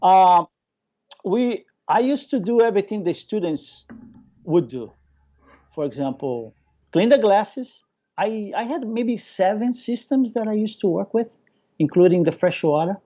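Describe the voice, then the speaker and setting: Male interview guest, Brazilian accent with island touch, Portuguese native, excitable storyteller, soft dark tone, dull lo-fi audio. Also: sits high, unhurried. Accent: Brazilian with a local Hawaiian touch. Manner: enthusiastic, repeats phrases, acts out remembered remarks. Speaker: guest, hobbyist aquarium keeper. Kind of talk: casual live interview storytelling.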